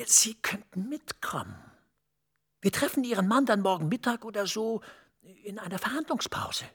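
An actor playing a calculating male EU director general, measured and authoritative, dropping into hushed asides. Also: on the high side, creaky, slightly rough, heavily acted. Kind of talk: German TV drama acting.

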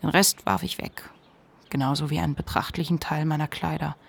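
An actor playing a reflective, ashamed narrator confiding a memoir in a measured literary radio monologue. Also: medium pitch, fairly even intonation, vocal fry, slightly rough. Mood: reflective, confessional.

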